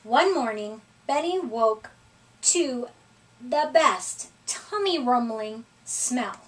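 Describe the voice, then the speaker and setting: A woman reading a children's story aloud in an expressive, deliberate way, recorded at a distance from the microphone. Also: on the high side, slight background noise. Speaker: storybook reader.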